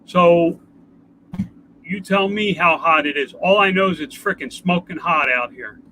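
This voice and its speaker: An older man with a congested nasal voice, talking in a rambling, halting way in a casual home video update. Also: sits high, bright, forceful tone, a little hiss or hum, poor audio. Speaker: vlogger. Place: outdoors.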